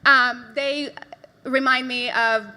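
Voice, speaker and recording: A woman's voice: high voice, fairly even intonation, takes it slowly, bright, forceful tone, smooth. A woman from Bulgaria telling jokey stories on stage, giggling between lines. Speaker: presenter at a live talk night.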